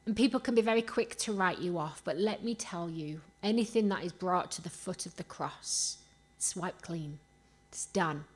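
A woman's voice: fairly low pitch, normal speed. Yorkshire woman preaching with emphatic repetition. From Rotherham, Yorkshire, England. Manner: earnest, rhetorical questions and emphatic repetition. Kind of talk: sermon with personal stories.

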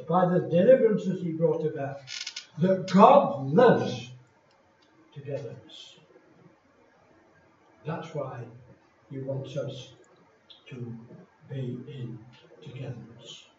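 An older man from England, a retired pastor, preaching in a slow, deliberate and emphatic way with many pauses. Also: fairly high pitch, a little mellow, slight background noise. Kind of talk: sermon. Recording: echoey hall from far off, dull lo-fi.

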